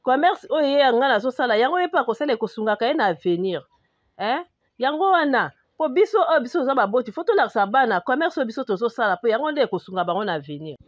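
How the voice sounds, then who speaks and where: A woman with a Congolese accent playing an indignant, scolding market-trader mother in an animated comedy. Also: on the high side, fast, no echo, muffled recording. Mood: indignant, defensive.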